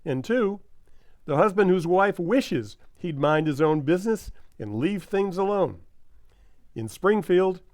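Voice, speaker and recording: A man's voice: high-pitched, expressive, unhurried, a little mellow, no room sound, studio quality. A man playing a grandly cheerful 1950s radio announcer narrating a sitcom. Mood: mock serious. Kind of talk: old-time radio announcing.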